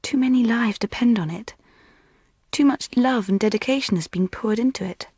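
Young woman, husky half-whisper, idealistic, confiding, very dry close sound. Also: a little high, moderate pace, a little mellow, dry recording. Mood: earnest, confiding. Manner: confiding, solemn, partly whispered. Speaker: actor in a radio drama. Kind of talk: dramatic monologue.

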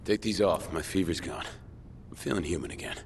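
Tired grumpy firefighter in a zombie game, gravelly voice, bit of noise behind. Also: male voice, deep voice, expressive, fairly quick. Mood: pleading. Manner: swearing, exasperated. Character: weary, irritable firefighter and crash survivor. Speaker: player character in a zombie game. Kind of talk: video game voice acting. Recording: faint background noise and game ambience.

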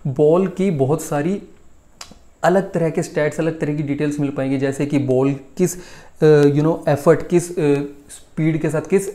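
Mellow young Indian man presenting sports news. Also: on the high side, steady tone, a little slow. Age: 20s. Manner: conversational, emphatic, repeats key points for effect. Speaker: cricket news host. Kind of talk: casual news rundown to camera. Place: small home room, indoors.

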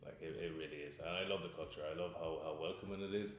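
A young man with an Irish accent speaks in a low, deep voice, halting now and then and doubling back on words. He sits for an interview in an office. The room rings with heavy echo. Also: fairly even intonation, a little hiss or hum. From Ireland. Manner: hesitant, repeats words. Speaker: employee interviewee. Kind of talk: recruitment video interview. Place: office, seated indoors.

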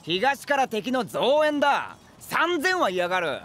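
Brash high-voiced warrior. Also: male voice, big pitch swings, slow pace, pushed and bright, heavily acted. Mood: brash alert. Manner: rough, brash. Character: brash, rough-talking young hot-blooded warrior. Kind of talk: anime voice drama acting.

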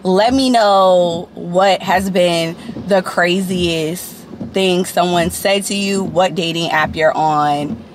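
A woman's voice: fairly low pitch, takes it slowly. A woman in her thirties with a Southern US accent, venting bluntly and animatedly to camera from inside a car. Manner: animated, blunt, swears freely. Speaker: vlogger. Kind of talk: casual rant to camera. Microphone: phone or camera on the dashboard. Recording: noisy car interior.

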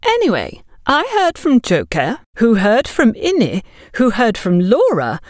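Woman playing a bossy, high-pitched gossipy busybody. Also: wildly swooping pitch, a little slow, no echo, clean studio sound. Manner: bossy, effusive, talks down to others. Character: overbearing, gossipy plump woman, smugly condescending. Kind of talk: audio drama storytelling.

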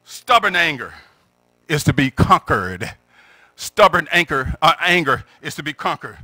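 A man's voice: on the high side, very animated, measured pace, no room sound. A middle-aged American man preaching an impassioned sermon as a pastor, emphatic and exhorting at a pulpit microphone. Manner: emphatic, exhorting, often rising into shouts. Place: church sanctuary, at the pulpit. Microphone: gooseneck pulpit microphone.

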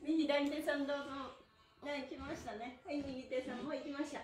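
A middle-aged woman leading a live stretching class in a cheerful, encouraging, animated way. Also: high-pitched, brisk, over music. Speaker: stretching instructor. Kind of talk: live-streamed exercise class with counted chants. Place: small indoor room with bare walls. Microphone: camera a few metres away. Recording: echoey room, mic at a distance.

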